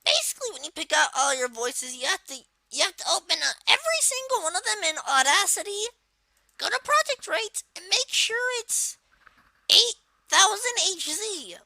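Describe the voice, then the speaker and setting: A woman voicing a cheeky cartoon villain kid, giving a software tutorial in a casual, halting way with filler words. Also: extremely high pitch, very animated, dry recording, heavily acted, rough recording. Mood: casual, explaining. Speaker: tutorial presenter.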